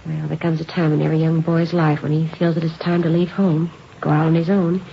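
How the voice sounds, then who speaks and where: A woman's voice: low-pitched, little pitch movement, fairly quick, gravelly, dull audio. An actress playing a polite, worried mother in her early forties in a radio drama, hesitant and holding her anxiety in. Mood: composed. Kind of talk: 1950s radio drama acting. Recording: hissy, band-limited old radio recording.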